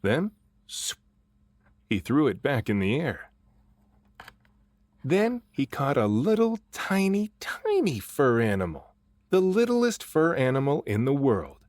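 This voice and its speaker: A man reading a children's picture book aloud in an expressive way, drawing out phrases for dramatic emphasis. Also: mid-range voice, slow, pauses often, no room sound. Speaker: storybook reader and voice actor.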